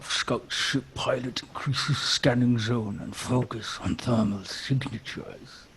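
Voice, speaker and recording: A man voicing a cold, commanding space pirate captain, giving deliberate, clipped orders. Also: mid-range voice, steady tone, fairly bright tone, slight background noise.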